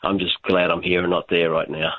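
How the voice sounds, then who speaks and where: A man's voice: low-pitched, flat delivery, fairly quick, dry recording. A man from Australia with a Queensland accent, calling in to a radio show in a laid-back, chuckling way and repeating his points. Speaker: caller. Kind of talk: phone-in chat. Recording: tinny phone line.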